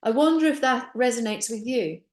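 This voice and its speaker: Middle-aged woman, kinda high voice, earnest upbeat sales talk, speaks right to you. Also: moderate pace. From Finland. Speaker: business opportunity presenter. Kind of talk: persuasive sales presentation.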